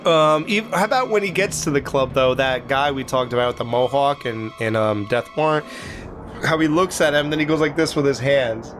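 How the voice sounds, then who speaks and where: A man's voice: mid-range voice, moderate pace, over music. Crude joking man in casual movie chat. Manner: crude, joking, retells scenes. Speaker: podcast co-host.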